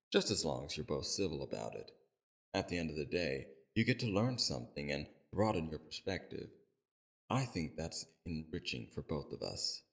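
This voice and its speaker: Man acting out a very deep, gravelly, courteous villain. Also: exaggerated voice. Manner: civil, measured, softly probing. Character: calm, courteous villain leader interrogating a captive. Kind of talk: scripted audio roleplay.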